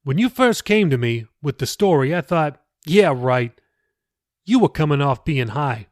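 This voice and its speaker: A man's voice: a little high, big pitch swings, a little slow, no echo, clean studio sound. A man reading an audiobook, voicing an earnest, persuasive pastor who talks casually and presses with questions. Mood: earnest, explaining. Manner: colloquial, insistent, questioning. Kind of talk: audiobook character dialogue.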